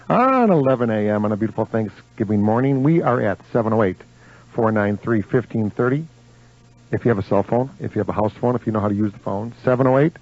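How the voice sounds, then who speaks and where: American male radio host, dull lo-fi sound. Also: medium pitch. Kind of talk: live radio show banter and interviewing. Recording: dull lo-fi radio broadcast recording.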